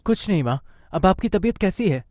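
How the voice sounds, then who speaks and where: A man's voice: sits high, lively intonation, a little fast, darkish tone, no room sound, studio quality. A man voicing a troubled, dutiful young son in a moral-story cartoon, earnest and worried. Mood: dejected.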